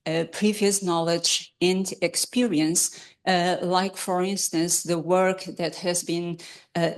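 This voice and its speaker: Woman, keynote talk, halting delivery, lowish voice. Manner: hesitant, many fillers and restarts. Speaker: keynote speaker. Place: conference hall.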